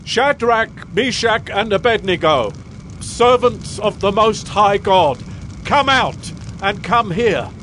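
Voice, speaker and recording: Proud ancient king, played by a man: theatrical, sweeping highish pitch, declamatory scripture drama. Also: expressive, slow, a bit bright, slight background noise, heavily acted. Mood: commanding. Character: proud, fervent ancient Babylonian king, awed by God. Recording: music behind the voice.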